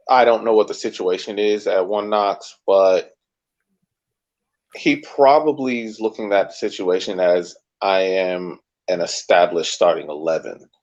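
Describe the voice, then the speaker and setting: A man co-hosting a sports podcast, talking casually and thinking aloud in measured, careful points. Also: on the low side, a little mellow. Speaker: podcast co-host. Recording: dull lo-fi podcast audio.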